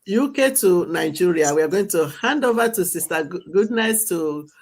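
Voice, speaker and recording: A woman's voice: lowish voice, animated, moderate pace, a little mellow. A woman hosting a live stream, handing over to each guest and repeating her thanks. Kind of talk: moderating introductions.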